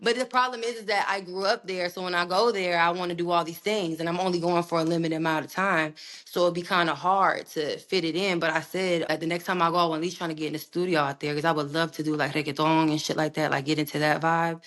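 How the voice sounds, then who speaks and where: A young woman recording artist in a casual interview, talking in a slangy, animated way with plenty of swearing. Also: fairly low pitch, fast. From United States, raised partly in Puerto Rico.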